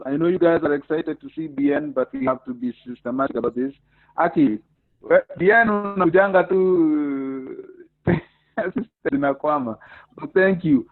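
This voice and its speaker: A Kenyan man with a slightly raised pitch hosts a video call in a lively way, directing the participants and reading out questions. His voice comes through a tinny, phone-like line with a dry sound. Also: no echo. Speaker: host. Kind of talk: hosting a video call interview.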